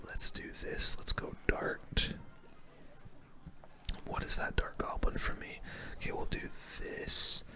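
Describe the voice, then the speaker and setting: ASMR gaming host, unvoiced whisper, very bright, breathy, casual slangy play-by-play. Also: slow pace, long pauses. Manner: hushed, casual gamer slang. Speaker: gaming video host playing a card battle game.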